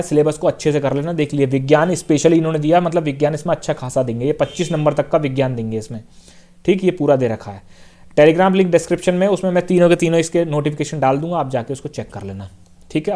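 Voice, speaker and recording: A man's voice: fairly high pitch, a little mellow. Casual man explaining exam rules. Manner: casual, repeats points, fills with "theek hai" and "bhai". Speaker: exam coaching teacher. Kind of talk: explaining a job notification on screen.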